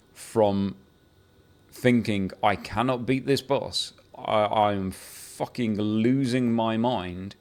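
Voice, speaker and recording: A man chatting casually about a video game he has been playing, rambling with restarts and the odd swear, building into excited bursts. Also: lowish voice. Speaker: gaming video creator. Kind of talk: casual unscripted game talk to camera.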